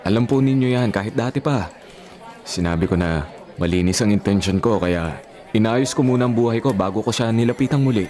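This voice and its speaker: A man's voice: on the low side, brisk, darkish tone, natural voice. An actor playing a sincere young male suitor, respectful, hesitant and earnest, in a radio drama. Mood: deferential. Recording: prominent music behind the voice.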